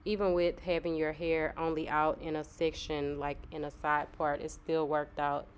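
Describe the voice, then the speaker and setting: A woman's voice: low-pitched, moderate pace, a little hiss or hum. A young woman, a little nasal from a cold, chatting casually through a hair tutorial. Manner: relaxed, chatty, filler-heavy. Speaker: hair vlogger.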